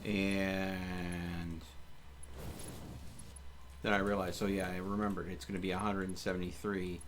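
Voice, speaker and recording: A man's voice: deep voice, flat delivery, unhurried. A man doing casual live gameplay commentary as a streamer, thinking aloud and muttering to himself. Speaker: game streamer. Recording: clear background noise.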